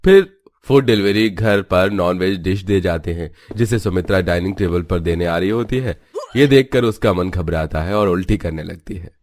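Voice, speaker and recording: A man's voice: deep voice, moderate pace, darkish tone, no echo. A man reading scripted narration for a story.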